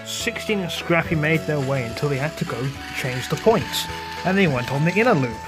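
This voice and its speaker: A man's voice: on the high side, animated, moderate pace. A man narrating a homemade toy-train story in a rambling, offhand, crude comedic way, with music behind. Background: background music.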